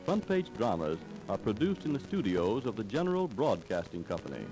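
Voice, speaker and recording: A male radio announcer in vintage 1930s style, with a mid-pitched, animated delivery. He reads dramatic scripted narration over music. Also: a little fast, poor audio.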